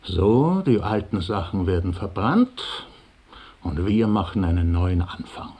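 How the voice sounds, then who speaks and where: A coaxing, husky, slow-spoken businessman. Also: deep voice, big pitch swings, dark tone, exaggerated voice. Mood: encouraging. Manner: persuasive, encouraging, rhetorical questions. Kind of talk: German radio play acting.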